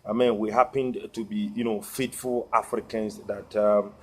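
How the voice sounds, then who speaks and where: A Ghanaian man, a social media activist, talking to his viewers in a halting way, with many "uh" fillers, repeated words and a habit of asking "what" before the key word. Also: lowish voice, level tone. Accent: Ghanaian English. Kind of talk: monologue addressed to viewers.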